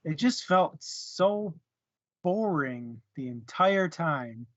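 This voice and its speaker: Slow-talking man in casual book chat. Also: a little high, animated, a bit bright, dry recording, dull audio. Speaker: book club podcast co-host.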